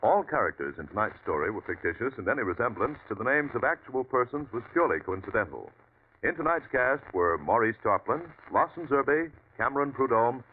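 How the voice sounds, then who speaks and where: A man announcing the credits and upcoming program in a formal, measured broadcast style. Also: medium pitch. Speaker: radio announcer. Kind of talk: scripted announcing. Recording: hissy, tinny old radio broadcast.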